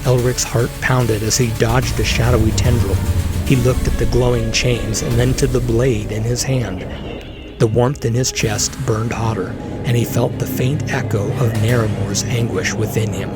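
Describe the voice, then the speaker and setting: A man's voice: mid-range voice, steady tone, moderate pace. A man reading scripted, dramatic fantasy narration as the storyteller of an audio drama. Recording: loud dramatic music behind.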